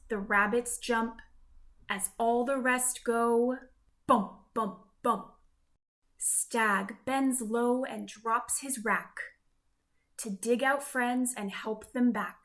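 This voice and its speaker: A woman narrating a rhyming children's picture book in a measured, expressive storytelling manner. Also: a little high. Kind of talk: scripted rhyming story read-aloud for children.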